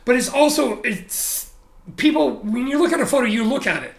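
A man being interviewed, talking casually and candidly about his career, animated and blunt with frequent restarts. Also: extremely high pitch, normal speed, vocal fry, roomy sound. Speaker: interview guest, photographer. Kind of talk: casual conversational storytelling.

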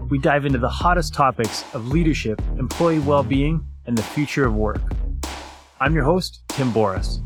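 A man hosting a workplace podcast, reading a polished intro and then asking interview questions in an upbeat way. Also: medium pitch. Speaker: podcast host.

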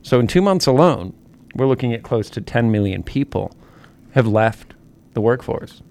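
A man's voice: mid-range voice, expressive, normal speed, gravelly, a little mellow. A man co-hosting a talk podcast with a mid-range voice. He sets up each topic earnestly, walking through figures between stumbles and fillers. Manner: earnest, leading the topic with stats. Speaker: podcast co-host. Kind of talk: casual political podcast chat.

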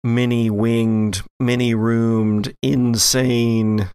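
A man's voice: mid-range voice, flat delivery, very slow, darkish tone, clean tone, no echo, studio quality. A man working as game master, narrating an actual-play horror tabletop game, setting scenes descriptively in broken phrases.

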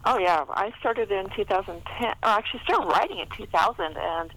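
A woman's voice: mid-range voice, animated, a little fast, a bit bright, poor audio. A middle-aged American woman chatting casually and telling anecdotes as an interview guest. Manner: chatty, laughs often. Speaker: interview guest, historical fiction author.

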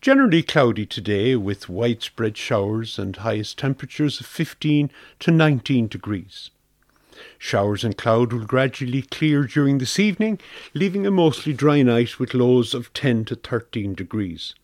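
A man's voice: medium pitch, lively intonation, normal speed, dry recording, clean studio sound. An Irish man reading a scripted weather forecast for local community radio.

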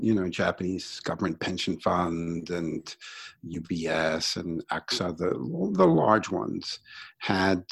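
A middle-aged or older American man from Brooklyn telling his own story in a conversational, reflective interview style with hesitant pauses. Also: low-pitched, creaky, husky. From Brooklyn, New York, United States. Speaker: interview guest, sustainability entrepreneur.

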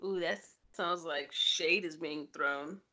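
A woman co-host chatting casually about sports. Her voice sits a little low and has a bright, forceful edge. She comes across as playful and ready to tease. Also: slow.

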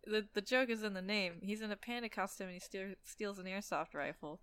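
A woman co-hosting a comedy podcast, joking and teasing in quick banter. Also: medium pitch, a bit bright, smooth, no room sound. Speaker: podcast co-host. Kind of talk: casual comedy chat.

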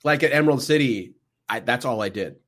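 A man's voice: mid-range voice, animated, a bit bright. A man hosting a podcast in casual, joking banter from the back room of a game store. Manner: chatty, jokey, quick asides. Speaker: podcast host.

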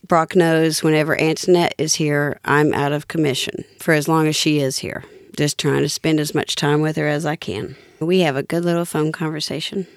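A woman's voice: sits low, fairly even intonation, moderate pace, hardly any pauses, dry recording, studio quality. A woman hosting a podcast in a casual, chatty style. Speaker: podcast host. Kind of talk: casual chat.

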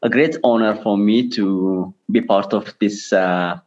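A man in his thirties with an Ethiopian accent, answering personal interview questions haltingly, with frequent pauses. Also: lowish voice, a little mellow. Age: 30s. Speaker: interview guest, charity director. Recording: dull lo-fi remote call.

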